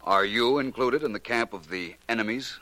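An actor playing a suave, self-assured private detective in 1940s radio drama style, speaking with precise, urbane wording. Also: male voice, medium pitch, moderate pace, bright, forceful tone, no echo, natural voice. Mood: confident, probing. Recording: noisy vintage 1940s radio recording.

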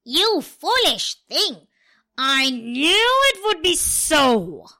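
A sharp, bossy storybook cat scolds and hurries its companion along, its voice pitched high and swinging widely up and down, as a woman puts it on. It speaks loudly, with a crisp, bright edge. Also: sing-song, extremely slow, heavily acted. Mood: bossy urgent.

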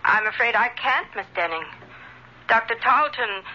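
Sharp, tinny telephone receptionist. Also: female voice, a little high, animated, normal speed, exaggerated voice. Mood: formal, grave. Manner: formal, businesslike. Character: formal female doctor's receptionist on the telephone. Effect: telephone filter. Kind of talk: 1940s radio drama acting. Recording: dull, noisy old radio recording, telephone effect.

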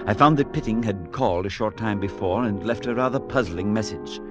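A man playing an earnest, troubled priest in an old radio drama, speaking in a measured, grave, theatrical way. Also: lowish voice, highs cut off, lightly acted. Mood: somber reflection. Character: earnest, troubled priest telling his eerie story. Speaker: narrator and character. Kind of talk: 1940s-50s radio drama narration and acting. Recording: vintage radio broadcast with music.

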